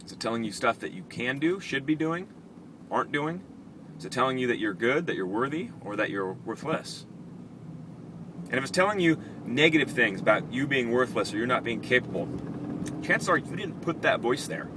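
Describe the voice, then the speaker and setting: Conversational man vlogging over clear car noise. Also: mid-range voice, normal speed. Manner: animated, conversational, reflective. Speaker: vlog host. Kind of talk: casual personal storytelling to camera. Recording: clear vehicle and street noise.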